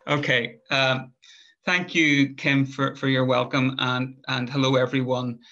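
A middle-aged man with a Northern Irish accent giving an academic talk over a video call, measured and thoughtful with frequent hesitations. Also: medium pitch, flat delivery, pushed and bright. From Northern Ireland. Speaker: conference speaker, lecturer. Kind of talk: academic presentation. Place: home room, indoors. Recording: muffled video call audio.

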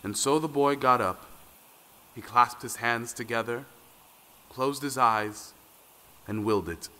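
Bright, forceful man narrating a tale. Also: medium pitch, a little slow, in a large hall, low-quality recording. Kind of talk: dramatic storybook narration. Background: faint music.

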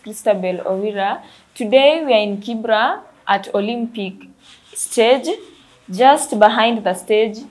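A young woman with a Kenyan accent presents as a show host. Her voice is mid-pitched and she speaks to an audience in the manner of an online presenter. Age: 20s. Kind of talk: presenting to camera, interviewing. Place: indoor art gallery room. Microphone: lapel.

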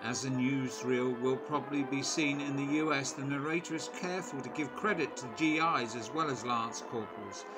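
A man narrating a local history documentary in a measured, informative voice-over. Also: medium pitch. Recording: voice-over with loud music bed.

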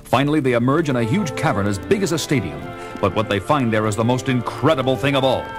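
Dramatic male narrator over loud music. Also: medium pitch, expressive, fast talker. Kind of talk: dramatic scripted narration for 1970s audio drama.

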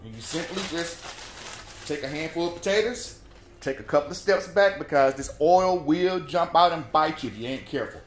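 A man hosting a home cooking show, explaining each step in a folksy, relaxed way. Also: on the high side, moderate pace, noisy background. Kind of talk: casual cooking demonstration. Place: home kitchen at the stove. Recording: echoey kitchen room. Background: oil frying and sizzling in a skillet.

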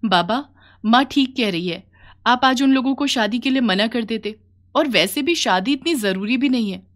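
A woman's voice: on the high side, lively intonation, moderate pace, lightly acted. A woman voicing a caring, earnest young village daughter in a cartoon, concerned and pleading.

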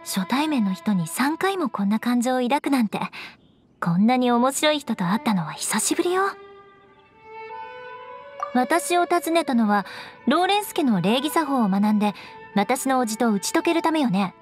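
A woman's voice: a little high, expressive, moderate pace, darkish tone, lightly acted. A woman voicing a proud, aloof young noblewoman knight in an anime game, with composed, elegant, haughty phrasing. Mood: amused, haughty. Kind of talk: Japanese anime game voice acting. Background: orchestral game music with strings.

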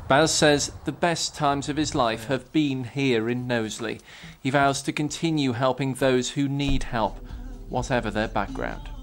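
Man, news reporter, voice-over, measured, scripted, mid pitch. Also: soft background music.

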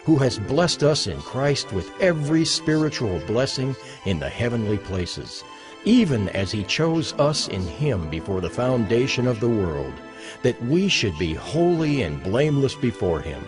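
A man reading scripture as a dramatized narration, solemn and measured, with emphatic phrasing. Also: mid-range voice, big pitch swings. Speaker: Bible narrator. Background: music under the voice.

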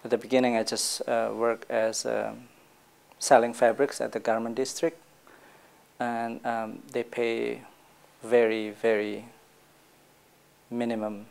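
A man's voice: medium pitch, little pitch movement, takes it slowly, creaky, pauses often, a little mellow. A man, answering interview questions hesitantly with many fillers. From Indonesia.

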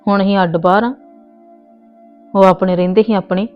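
A woman's voice: mid-range voice, fairly even intonation, normal speed, dull audio, soft background music, lightly acted. A woman playing a stubborn young wife in a family skit, scolding and insistent. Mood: soothing, scolding. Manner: scolding, insistent, voice rising in argument. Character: young married woman, stubborn, quick to argue. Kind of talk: scripted family comedy skit.

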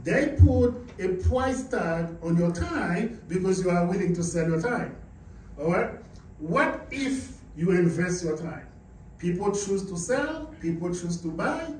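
A man's voice: high voice, animated, a little slow, darkish tone. Haitian-born male seminar speaker, emphatic, quizzes the crowd; faint room hum behind. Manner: emphatic, asks the audience questions. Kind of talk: motivational business presentation. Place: carpeted indoor meeting room. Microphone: far camera, no visible mic. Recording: echoey room, camera far off.

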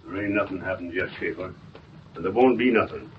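An American actor playing a confident, reassuring street cop in an old radio drama. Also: male voice, low-pitched, fairly quick, close to an everyday voice. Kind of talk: 1930s-40s radio acting. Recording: muffled, hissy vintage radio recording.

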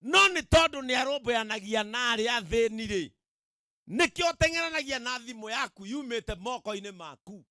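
Male Kenyan pastor, middle-aged, Kikuyu speaker. High-pitched, emphatic sermon delivery into a close handheld mic, dry sound. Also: lively intonation, a little fast, sharp tone, no room sound. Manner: emphatic, repetitive, checks in with the audience. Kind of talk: sermon to a live gathering. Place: outdoor tented event. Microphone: handheld microphone.

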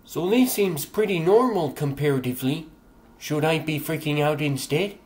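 A man's voice: on the high side, normal speed, lightly acted. A man voicing a reckless but unruffled teenage superhero boy in an amateur comic-book dub. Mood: calm. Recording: loud music under the voice. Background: loud music.

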